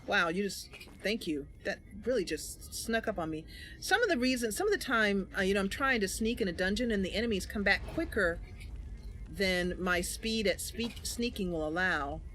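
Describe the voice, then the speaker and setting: A woman's voice: medium pitch, lively intonation, moderate pace, fairly bright tone, a little hiss or hum. A woman hosting a gaming channel, giving casual, chatty commentary to viewers as she plays. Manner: chatty, talking to viewers while playing.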